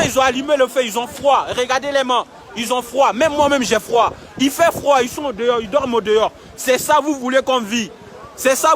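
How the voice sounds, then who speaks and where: Young male streamer, very high-pitched and insistent, phone mic outdoors, faint crowd behind. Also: fairly bright tone. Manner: emphatic, repetitive, urging viewers. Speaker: live-streaming vlogger. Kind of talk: impassioned outdoor livestream. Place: outdoors in a city street camp. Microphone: phone held while filming.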